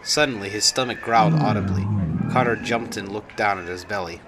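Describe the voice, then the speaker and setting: A man's voice: on the low side, a little fast, very noisy. A man reading his own story aloud as a scripted narration.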